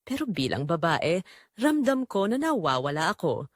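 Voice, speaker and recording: A woman's voice: fairly low pitch, big pitch swings, normal speed, dry recording, studio quality. A woman playing a guilt-ridden married mother confessing a secret affair, narrating in the first person in an emotive, confessional manner. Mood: confessional, wistful.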